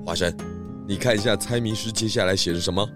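A man voicing a good-natured, inquisitive pig detective in a children's audio drama, very expressive. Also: fairly low pitch, fast talker, music in the background, natural voice.

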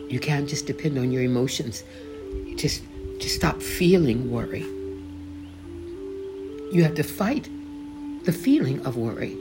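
A woman's voice: a bass voice, lively intonation, unhurried, long pauses. A woman author and host reading her devotional book aloud, earnest and conversational, calling the listener beloved. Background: background music.

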